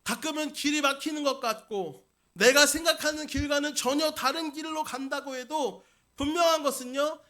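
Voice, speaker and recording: A man's voice: very high voice, pushed and bright. A man preaching a Sunday sermon as senior pastor, putting questions to the congregation and repeating key points for emphasis. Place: church service.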